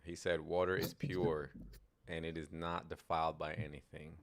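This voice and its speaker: Deep-voiced gravelly male host, casual, reads texts slowly, faint hiss. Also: little pitch movement. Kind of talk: casual chat, reading texts aloud.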